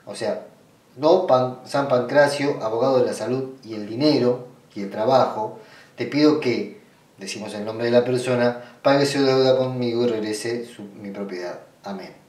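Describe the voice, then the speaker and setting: A man with an Argentine accent speaks at a middling pitch, picked up from some distance in a very roomy space. He explains things informally, step by step. His delivery halts and circles back as he rephrases instructions. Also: normal speed, darkish tone. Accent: Argentine Spanish. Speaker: ritual practitioner giving a tutorial. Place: small indoor room at a table. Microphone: camera mic away from the speaker. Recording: roomy sound, distant microphone.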